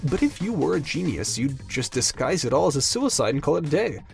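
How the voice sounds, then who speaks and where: A man's voice: a little high, big pitch swings, a little fast, creaky, soft background music. A man playing a level-headed, frank young man in an audio drama, explaining things reasonably. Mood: agreeing.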